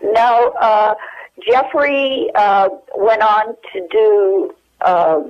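An American woman in her eighties reminiscing casually and haltingly as an interview guest over the telephone. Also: mid-range voice. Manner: halting, searching for memories. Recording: dull, lo-fi telephone hook-up.

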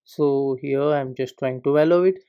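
A man's voice: mid-range voice, normal speed, clear, resonant tone, muffled recording. A man narrating a screen-recorded coding tutorial, walking through each step and leaning often on the word "particular". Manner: steady step-by-step explaining, repeats "particular".

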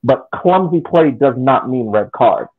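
A man's voice: medium pitch, lively intonation, a little slow, slightly rough. A man co-hosting a soccer podcast over a video call, debating casually and argumentatively with hypothetical questions. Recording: thin phone-like call audio.

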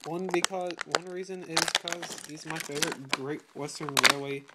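A chatty teenage boy reviews toy trains at home, talking into a phone held close. He is still getting over a cold and keeps clearing his throat. Loud crinkling plastic packaging rustles clearly around his voice. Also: on the high side, little pitch movement, moderate pace, heavy background noise. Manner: chatty, eager, apologetic, clears throat often. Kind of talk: casual unboxing review. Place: home room with patterned rug. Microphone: phone camera held close.

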